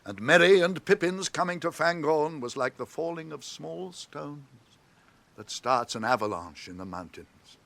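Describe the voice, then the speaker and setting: An actor playing a solemn, commanding old wizard in a radio drama, with grand declamatory delivery. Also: male voice, on the high side, lively intonation, measured pace, vocal fry, fairly bright tone, exaggerated voice. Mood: urgent, stately.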